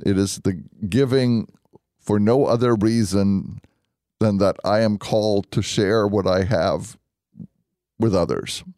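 A man speaking as a deacon and radio host, conversational and earnest, explaining things deliberately. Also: on the low side, steady tone, mellow, no echo, studio quality. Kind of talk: conversational religious radio hosting.

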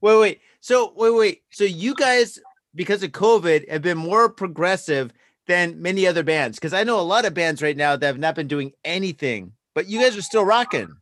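A man's voice: sits high, animated, moderate pace, fairly bright tone, no echo, clean studio sound. A man hosting a podcast, interviewing casually in a blunt, informal way with some swearing. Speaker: podcast host.

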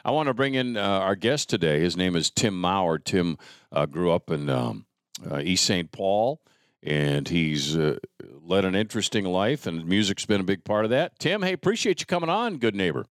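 A man's voice: low-pitched, very animated, moderate pace, no room sound, clean studio sound. An American man hosting a casual talk show in a folksy, wry manner. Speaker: podcast host.

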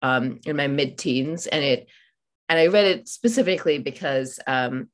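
A woman with a very low-pitched voice whose first language is Malayalam. She talks in a reflective, searching way, pausing and restarting often. She speaks as an author guest on a podcast interview. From India, raised in Oman. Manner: thoughtful, many fillers and restarts.

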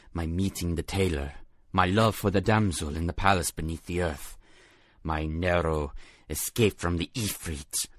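Man playing a deep-voiced sorrowful wandering dervish prince. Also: no room sound, studio quality. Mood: sorrowful, reflective. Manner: declamatory, formal archaic phrasing with recited verse. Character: sorrowful young prince turned wandering beggar dervish, long-suffering. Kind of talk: dramatic audio drama storytelling in archaic English.

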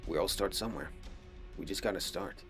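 A man's voice: low-pitched, fairly quick, music in the background. A man who voice acts, delivering a prepared testimonial straight to listeners. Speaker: amateur voice actor. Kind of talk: scripted testimonial, addressing listeners.

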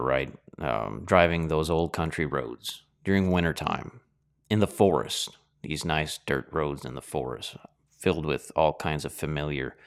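A man with a very low, smooth and resonant voice talks in a loose, reflective monologue. He reminisces with plenty of ums and ahs. He is middle-aged and hosts his own show. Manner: rambling, nostalgic, with many fillers. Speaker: host.

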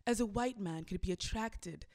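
A young woman who DJs, chatting casually and telling a story with lively shifts, acting out what people said. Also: mid-range voice, normal speed. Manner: animated storytelling, acts out quotes. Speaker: club DJ. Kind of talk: casual conversation.

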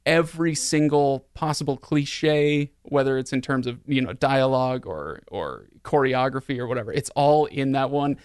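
A man's voice: medium pitch, normal speed, few pauses, smooth, no echo, clean studio sound. A man talking casually about films as a podcast host, opinionated and repeating words for emphasis. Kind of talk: casual film discussion.

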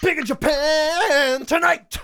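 A man's voice: very high-pitched, fairly even intonation, slow, creaky, sharp tone, no echo, clean studio sound. An Irish man co-hosting a radio show, talking in casual, jokey banter. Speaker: radio show and podcast co-host.

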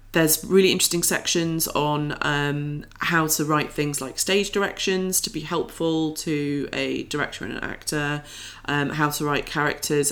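A woman's voice: low-pitched, fairly bright tone. A woman co-hosting a podcast, chatting in an informal, enthusiastic way. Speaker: podcast co-host. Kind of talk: casual scripted-free podcast chat.

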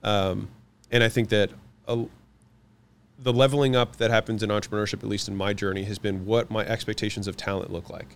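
A man whose first language is French, answering interview questions about running companies, confident and quick, listing his points one after another. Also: on the low side, steady tone, gravelly, clear, resonant tone. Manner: fast, confident, lists points in quick runs. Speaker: guest, business owner.

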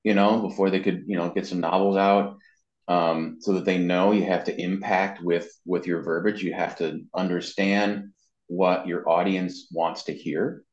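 A man with a low-pitched voice talks as an interview guest, explaining things in an informal, conversational way. The recording is dull and lo-fi, with a strong roomy echo around his words. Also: fairly even intonation, normal speed, darkish tone. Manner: informal, explanatory, often checks in with "you know". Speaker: interview guest, marketing and web consultant. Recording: muffled lo-fi sound, roomy echo.

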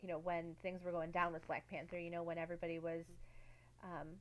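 A woman's voice: lowish voice, flat delivery, brisk, dull audio. A woman co-hosting a casual podcast, talking reflectively with many "like" and "um" fillers. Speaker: podcast co-host.

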